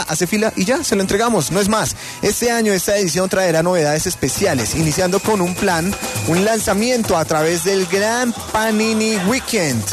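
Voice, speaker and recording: Colombian male radio host, lively promo patter over soft music. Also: high-pitched. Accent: Colombian Spanish. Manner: energetic, promotional, colloquial. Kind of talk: upbeat radio show patter.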